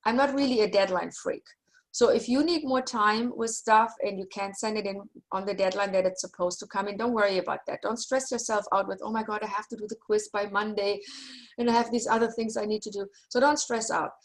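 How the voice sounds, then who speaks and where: A middle-aged woman with a German accent, a native German speaker, giving a relaxed, reassuring orientation for her online course over a video call. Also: mid-range voice, brisk. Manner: relaxed, reassuring, chatty with small restarts. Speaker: university course instructor.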